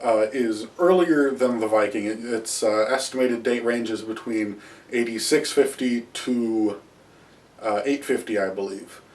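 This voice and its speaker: A man in his thirties talks a camera through a history topic at home, hesitating often with "uh" and circling back to restart phrases. His mid-pitched voice sounds a little distant from the camera. It is an informal lecture, not a scripted read. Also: a little mellow. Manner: halting, many "uh" fillers and restarts, gestures with both hands. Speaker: history video host. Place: small room at home with wall hangings and shields. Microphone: camera a few feet away.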